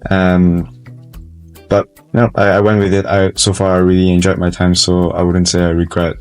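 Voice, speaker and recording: College student, 20s, Malaysian accent, deep and even, earnest. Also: male voice, normal speed, clear, resonant tone, faint music behind. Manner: earnest, reflective, with frequent restarts. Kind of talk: documentary interview.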